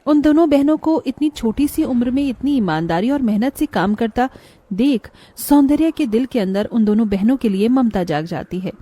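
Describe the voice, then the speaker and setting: A woman narrating a scripted children's moral story in a dramatic, expressive way. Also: fairly high pitch, moderate pace, no room sound.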